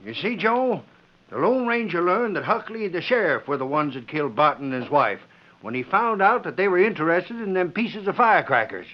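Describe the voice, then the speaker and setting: An actor playing an excited, folksy cowboy with an American accent in a 1940s radio Western. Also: male voice, sits high, brisk. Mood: triumphant. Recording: dull 1940s radio recording.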